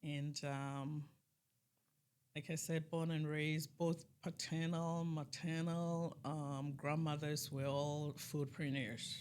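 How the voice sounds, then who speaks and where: A middle-aged woman from Ghana, a chef and food business owner, talking casually as an interview guest, animated and laughing at times. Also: sits low, slow, a little hiss or hum. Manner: animated, laughing, lively bursts.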